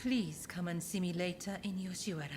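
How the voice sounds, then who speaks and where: A woman voicing a resolute, secretive lady of feudal Japan with measured intensity in a dramatic game. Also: on the low side, loud music behind the voice, close to an everyday voice. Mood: discreet. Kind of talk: dramatic video game acting.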